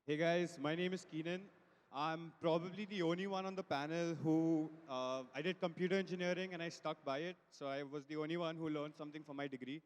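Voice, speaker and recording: Man, twenties, slightly high pitch, casual panelist, echoing hall. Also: steady tone, a little fast. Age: 20s. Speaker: technology lead, panelist. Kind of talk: casual self-introduction. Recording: reverberant hall microphone.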